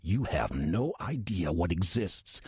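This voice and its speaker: An actor playing a weary, sardonic demon posing as a church deacon in an audio drama, dry, urbane and condescending. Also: male voice, very animated, slow pace, a little mellow, no room sound, heavily acted. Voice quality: husky, low voice. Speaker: narrator and lead character of audio drama. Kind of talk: audio horror-comedy drama acting.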